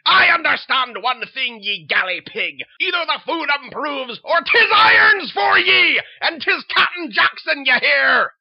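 A man voicing a gruff, bullying old retired sea captain who barks orders in salty seaman's slang. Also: mid-range voice, lively intonation, measured pace, muffled recording, noisy background. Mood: bellowing. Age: older. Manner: bellowing pirate-style seaman's talk.

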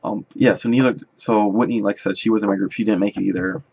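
A man in his twenties with a lowish, fry-laden voice talks casually to the camera. He rambles and halts as he tells his story. The recording is muffled and lo-fi. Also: fairly even intonation, quick pace, darkish tone. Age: 20s. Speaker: vlogger. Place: indoors in front of a draped backdrop. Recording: dull, lo-fi webcam-style sound.

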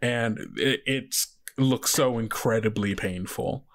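A man running a tabletop role-play game as game master, narrating and chatting about rules in an informal, halting way. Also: medium pitch.

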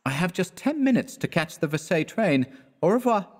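A man's voice: high-pitched, very animated, brisk, slightly rough, voice pushed up, heavily acted. A man voicing a breezy, flippant young male art student who is easily flustered, in an audiobook. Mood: cheerful. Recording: echoey hall-like reverb.

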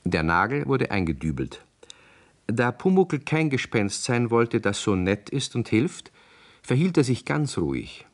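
A man's voice: medium pitch, sing-song, unhurried. A man narrating a children's story in a scripted 1960s radio play.

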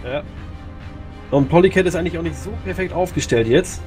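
A man casually commentating a video game match, chatty and quick to react. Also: fairly high pitch, music in the background. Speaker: esports commentator.

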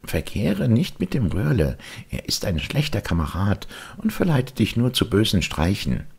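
An excitable, naive boy puppet from a storybook, voiced by a man, pitched up from a deep register. He speaks eagerly, with swooping intonation. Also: normal speed, creaky, slightly rough, exaggerated voice. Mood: earnest. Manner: eager, exclamatory.

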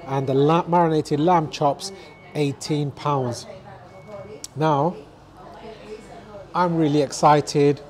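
A man's voice: on the high side, a little mellow. A man, presenting a casual food vlog on a lapel mic in a restaurant kitchen, enthusiastic and talking straight to camera. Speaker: food vlogger. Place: restaurant kitchen and dining room.

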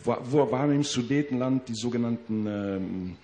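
A man interpreting a witness's testimony into German in court, pausing to search for words. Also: medium pitch, crowd noise. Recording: old 1960s courtroom tape.

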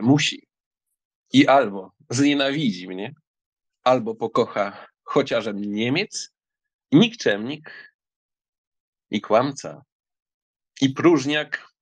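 A man plays an insolent, lovestruck count in a dramatized novel. His voice is husky and low-set, slow in pace, swinging widely in melody with bold theatrical stubbornness. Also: no room sound. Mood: defiant, passionate. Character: arrogant German count, impetuous, infatuated, stubborn suitor. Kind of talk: audiobook drama reading.

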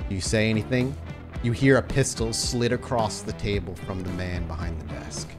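A male game master narrates a tabletop roleplaying session. He improvises in a conversational, affirming manner at a middling pitch. Music plays behind him. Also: fairly even intonation, moderate pace.

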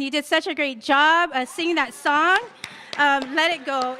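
A woman's voice: high voice, bright, forceful tone, a little hiss or hum. A woman in her forties from Hawaii, giving a chatty, encouraging church lesson at a podium mic, with light jokes. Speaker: women's day speaker. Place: large hall, indoors. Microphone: stand mic at podium. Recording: reverberant hall.

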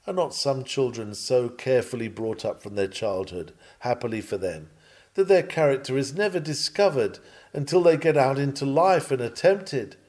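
A man with a mid-pitched voice reads a Victorian sermon aloud. His delivery is earnest and measured, with the weighty phrasing of a preacher. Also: a little mellow. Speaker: sermon reader.

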